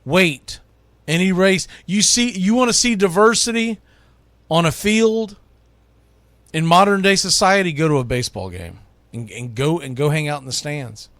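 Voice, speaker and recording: A man hosting baseball radio, delivering an opinionated countdown monologue with emphatic, punched phrases and dramatic pauses. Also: on the high side, a bit bright, no room sound. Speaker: baseball radio host and play-by-play announcer.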